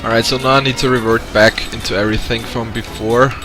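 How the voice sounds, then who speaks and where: A man's voice: mid-range voice, level tone, quick pace. A man with a German accent, a native German speaker, chatting casually with viewers while playing a video game, rambling and restarting his sentences. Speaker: speedrunner. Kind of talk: casual live-stream commentary over gameplay. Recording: faint game music behind.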